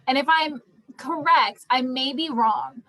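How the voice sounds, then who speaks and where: High-voiced young woman leading a discussion. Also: measured pace, bright, forceful tone, poor audio. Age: young adult. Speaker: student theatre group discussion leader. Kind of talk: casual group discussion.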